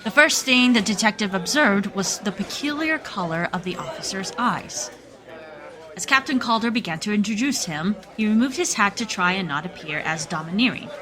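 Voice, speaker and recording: A woman's voice: mid-range voice, animated, moderate pace. A woman narrating a scripted radio drama in a storytelling style. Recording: music and crowd sounds behind. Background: music and crowd bed.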